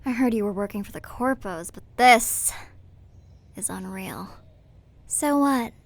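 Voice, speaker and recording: A woman's voice: medium pitch, no room sound, natural voice. A woman playing a mocking, confident cyberpunk raider in an audio roleplay, drawing out her taunts with menace. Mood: incredulous, mocking. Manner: slow, taunting delivery. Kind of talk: dramatic audio roleplay acting.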